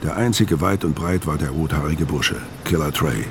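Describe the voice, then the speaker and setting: A man's voice: extremely low pitch, moderate pace, a little hiss or hum. A man acting a world-weary, hard-boiled criminal who tells his story tersely in a crime radio drama. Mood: tense, watchful. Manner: terse, laconic. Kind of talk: first-person crime radio drama narration and dialogue.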